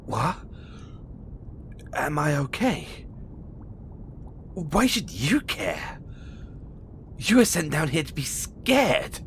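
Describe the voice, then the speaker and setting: Man acting as a cocky, volatile caged experiment, animated. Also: fairly high pitch, extremely slow, long pauses, a little hiss or hum, heavily acted. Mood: wounded, resigned. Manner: teasing, swaggering, swinging from soft murmurs to loud outbursts. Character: huge imprisoned male experiment, cocky, bitter, volatile. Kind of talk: intimate audio roleplay monologue addressed to the listener.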